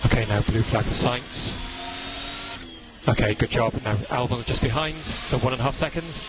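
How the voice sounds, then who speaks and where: A man working as a race engineer, giving brisk, clipped updates over team radio. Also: medium pitch, noisy background. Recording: radio over loud music.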